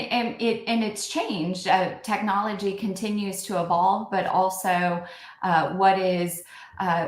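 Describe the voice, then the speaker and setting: A woman's voice: on the low side, roomy sound. A middle-aged woman giving conversational answers as a podcast guest on a remote call. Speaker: podcast guest, software consulting executive. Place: remote call, animals around.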